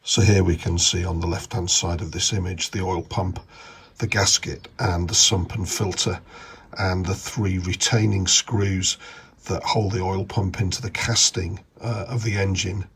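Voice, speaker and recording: A middle-aged man with a congested, cold-affected voice, talking through a hands-on job in a halting, explanatory way. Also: deep voice, flat delivery, vocal fry, a bit bright, slightly rough. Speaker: hobbyist mechanic narrating a video. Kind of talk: casual how-to narration. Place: home garage.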